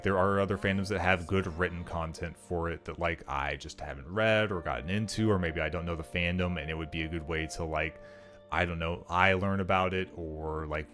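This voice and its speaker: A young man with a deep, low voice talks casually on a stream, wandering through his thoughts and peppering every sentence with filler words. Faint music plays underneath him. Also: a bit bright. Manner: rambling, heavy on "like" fillers. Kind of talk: casual livestream chat.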